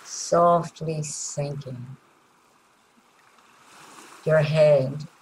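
A woman leading a guided meditation in a gentle, soothing way, leaving long pauses between short phrases. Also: low-pitched, fairly even intonation, a little hiss or hum, rough recording.

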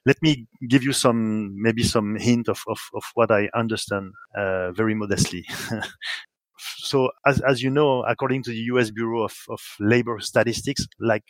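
A man's voice: on the low side, normal speed, no room sound. A man with a French accent, answering questions as a guest in a podcast interview, hesitant with many restarts. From France. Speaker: guest, software company executive.

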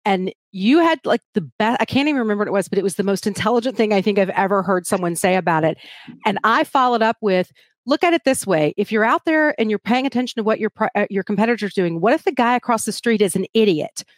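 A woman hosts an interview with animated, chatty energy and laughs easily between points. Her pitch is mid-range and the recording is dry and close, with no room sound at all. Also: fast talker, no echo. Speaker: live stream host. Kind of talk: enthusiastic interview hosting.

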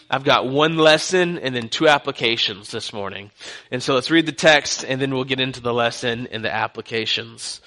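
A man's voice: a little high, sharp tone, rough recording. A young man from Texas with a light Texan accent, preaching a sermon as a guest pastor in a joking, conversational way. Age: young adult.

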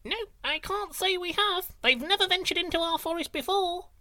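A man voicing a small snail in a storybook tale, shouting in a squeaky voice. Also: moderate pace, no echo, clean studio sound, heavily acted. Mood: loud.

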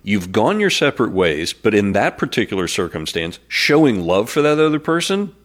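Nasal low-voiced man with a cold. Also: sing-song, normal speed. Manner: earnest, playful, slips into mock voices. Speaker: podcast co-host. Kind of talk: casual faith-based chat.

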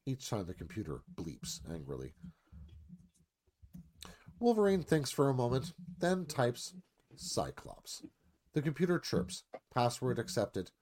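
A man's voice: on the low side, darkish tone. A man narrating a screenplay's stage directions in a live radio-theatre reading.

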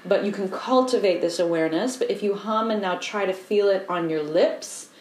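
A woman voice coach giving a calm, explanatory lesson and guiding the listener through simple humming exercises. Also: mid-range voice, moderate pace, roomy sound. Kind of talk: instructional voice lesson.

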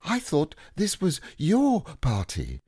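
A man voicing a small, timid, loyal animal friend in a hushed, confiding manner. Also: a little high, wildly swooping pitch, slow, slightly rough, no room sound, clean studio sound, pitched above the performer's own voice, exaggerated voice.

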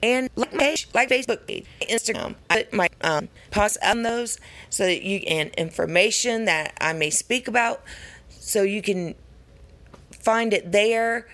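A woman with a raspy voice talking earnestly and encouragingly to her viewers in a casual vlog. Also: medium pitch, measured pace, fairly bright tone. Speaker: pageant contestant. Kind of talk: casual vlog talk to camera.

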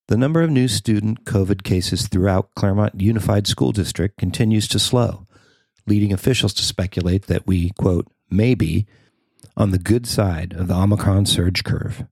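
A man's voice: on the low side, normal speed, gravelly, a little mellow, no room sound. An American man reading a scripted news story as a podcast host and reporter.

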